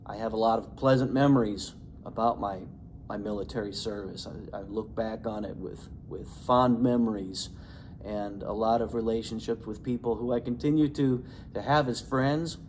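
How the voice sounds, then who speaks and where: A man in his fifties from Indiana, an Army veteran introducing his veterans group and reflecting earnestly at a measured pace. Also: fairly low pitch, steady tone, a little mellow, a little hiss or hum. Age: 50s.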